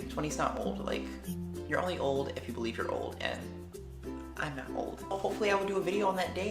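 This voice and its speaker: A woman's voice: lowish voice, roomy sound. Teen female vlogger, chatty slangy delivery, faint music under. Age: late teens. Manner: chatty and lively, with slang and quick tangents. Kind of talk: casual chat answering tag questions.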